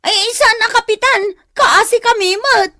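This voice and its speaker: A woman's voice: extremely high pitch, moderate pace, bright, forceful tone, dry recording, clean studio sound. A woman playing a flustered, evasive village gossip in Ilocano comedy radio drama, stammering and whiny as she feigns innocence. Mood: dismayed.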